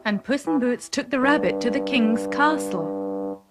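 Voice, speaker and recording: High-voiced woman narrating fairy tales. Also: lively intonation, measured pace, loud music behind the voice. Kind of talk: scripted children's fairy-tale narration.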